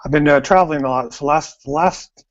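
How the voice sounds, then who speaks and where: A man's voice: on the high side, moderate pace. A man with an American accent, a guest on an online call, talking in an easygoing, rambling way. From United States. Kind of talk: casual chat. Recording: dull lo-fi call audio.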